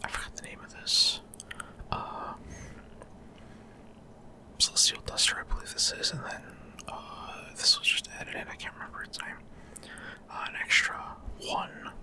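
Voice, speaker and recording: A man whispering ASMR video game commentary in a breathy, unvoiced voice, explaining things in short, hesitant, rambling phrases. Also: unhurried, long pauses. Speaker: gaming video creator.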